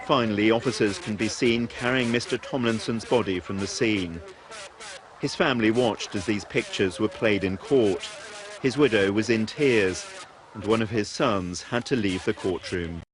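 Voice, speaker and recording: A man's voice: on the low side, moderate pace, a crowd in the background. A man reading a scripted news report in the style of a reporter's voice-over.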